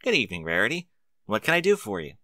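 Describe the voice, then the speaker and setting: A man performs a young dragon from a storybook, male and eager to please. His delivery is lively and swinging in pitch, with a bright edge and an awkward, bashful manner, recorded dry and close. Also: fast, no room sound, full character voice. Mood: eager, polite.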